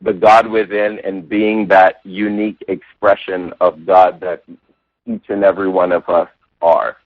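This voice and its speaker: A man's voice: low-pitched, flat delivery, a little slow, dry recording. A man born in Jamaica, a religious science practitioner and interview guest, thoughtfully telling his spiritual journey with frequent restarts and "you know" fillers. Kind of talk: reflective personal storytelling. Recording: tinny phone-like line, dry.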